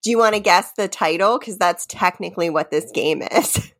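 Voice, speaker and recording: A woman's voice: lowish voice, moderate pace. A woman co-hosting a podcast game in casual, playful chat, giggly and teasing, sometimes reciting lines dramatically. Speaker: podcast co-host running a guessing game.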